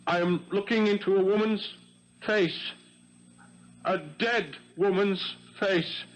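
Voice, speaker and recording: An actor playing an ambitious, excitable young French policeman in a 1970s radio mystery, with emphatic, dramatic phrasing. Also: high-pitched, takes it slowly, low-quality recording. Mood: shocked, grim. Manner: dramatic, emphatic delivery in short urgent phrases.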